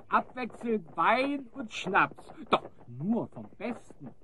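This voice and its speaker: A man's voice: sits high, big pitch swings, extremely slow, background noise, full character voice. An actor playing a gleeful male thief and henchman in a German audio drama, with a theatrical delivery. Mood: gleeful, smug. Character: cheerful male thief, hyena spy's henchman.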